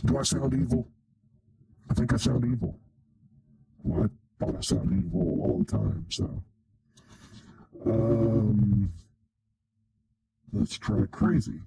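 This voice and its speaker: A man's voice: fairly low pitch, slow pace, long pauses, mellow, breathy edge, rough recording. A male streamer casually chatting while trying out voice presets. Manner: musing, testing voice presets. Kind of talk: casual livestream chat.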